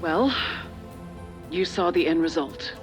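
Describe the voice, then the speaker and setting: A measured middle-aged official, persuasive and faintly patronizing, speaks as a calculating authority in a game drama. Music plays behind the voice. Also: female voice, on the low side, animated. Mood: measured, evasive. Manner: measured, persuasive, slightly condescending. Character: older female corporate official and parent, calculating, persuasive, patronizing. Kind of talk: video game dramatic voice acting.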